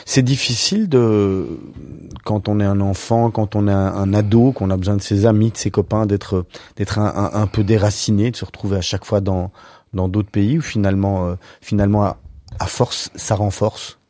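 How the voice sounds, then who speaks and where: A man's voice: on the low side, fairly even intonation, normal speed, mellow, no echo. A man hosting an interview show, chatty and repeating words as he frames his questions. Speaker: radio show host.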